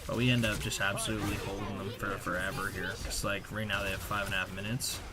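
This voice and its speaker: A man's voice: fairly low pitch, level tone, quick pace, a crowd in the background. A young man giving casual, slangy voice-over commentary on gameplay in a loose play-by-play way. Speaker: gaming video creator. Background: game music and effects.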